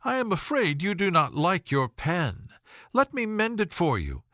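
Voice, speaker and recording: Storybook role: male reader as a fawning society miss, high-pitched, bright and swooping, flirtatious and eager to please. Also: wildly swooping pitch, a little slow, no echo, clean studio sound, exaggerated voice. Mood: gushing, flattering. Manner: effusive, fawning. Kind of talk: audiobook character voice.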